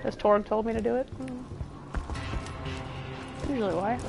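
A woman's voice: on the high side, fairly even intonation, moderate pace, gravelly, over music. A young woman livestreaming a video game, chatting with viewers in a profane, rambling way. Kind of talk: casual gaming livestream chat.

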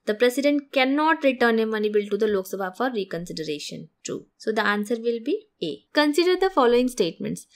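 A woman's voice: on the high side, sing-song, quick pace, few pauses. A woman, going through exam questions like a teacher, reading each statement and then saying whether it is true. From India. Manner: methodical, reads each option then rules on it. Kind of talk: explaining exam questions over slides.

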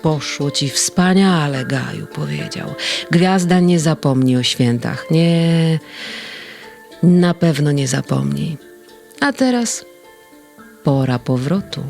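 A woman voicing a gentle, wise male guardian in a children's story, speaking in a soft, reassuring way. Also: low-pitched, lively intonation, slow, creaky, faint music behind, heavily acted. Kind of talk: storybook reading.

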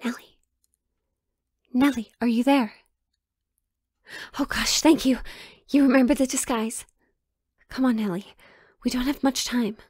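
A woman's voice: a little high, steady tone, measured pace, long pauses, a little mellow, breathy edge, no room sound. A woman playing a sheltered, pleading young royal in a romantic audio drama, with hesitant, formal old-fashioned phrasing. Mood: urgent.

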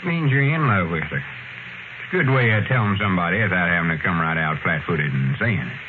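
Deep-voiced old Southern bumpkin. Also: male voice, animated, fairly quick. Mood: earnest explaining. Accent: rural Southern US. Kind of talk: 1930s-40s radio comedy acting. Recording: old radio broadcast, narrow band, noisy.

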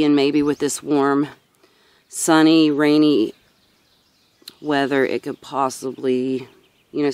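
A woman's voice: deep voice, darkish tone, clean tone. Woman, thirties, casual gardener vlog, rambling narration, handheld recording outdoors. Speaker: gardener giving a seedling tour. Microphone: handheld camera or phone.

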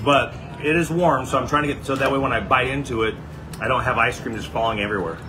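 A man's voice: medium pitch, fast talker, music in the background. A man in his forties co-hosting a casual food review, agreeable, chiming in and adding details, filmed on a phone in a small wooden shed. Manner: agreeable, chiming in with short affirmations. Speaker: co-host. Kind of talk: casual chat.

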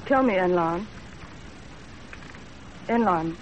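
A woman's voice: on the low side, animated, slow. A woman playing a self-possessed, sceptical young servant in a composed, plain-spoken 1930s radio acting style. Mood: polite, composed. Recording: muffled, faintly noisy 1930s radio broadcast.

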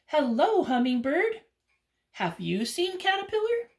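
Woman doing a high, eager little raccoon. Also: very animated, unhurried, darkish tone, full character voice. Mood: earnest. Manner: high, eager, emphatic storybook reading. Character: sad, earnest young raccoon.

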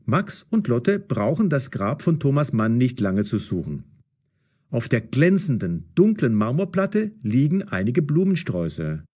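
Slow, husky, expressive male storyteller. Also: mid-range voice. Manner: deliberate, carefully enunciated, dramatic storytelling. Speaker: narrator. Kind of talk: scripted story narration for language learners.

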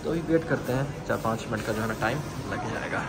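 A man's voice: mid-range voice, quick pace, creaky. A man, chatting casually and informally as a student vlogger into a phone, mixing in English words. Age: 20s. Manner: informal, repetitive filler phrases, Hindi mixed with English words. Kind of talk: casual vlog chat. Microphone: phone at arm's length. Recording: noisy street and indoor phone recording.

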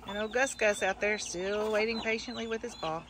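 Brief: older woman, chatty backyard chicken keeper, outdoors, hens clucking clearly behind her. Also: mid-range voice, steady tone, normal speed, background noise. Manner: chatty, sing-song greetings to her hens. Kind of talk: casual walk-through chat.

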